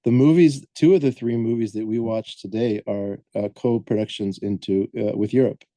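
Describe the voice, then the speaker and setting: A man co-hosting a podcast, talking casually and loosely with many pauses and filler sounds as he lays out background he has looked up. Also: on the low side, dark tone, no room sound. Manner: rambling, hesitant, lots of "um" and self-corrections. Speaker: podcast co-host presenting the film research.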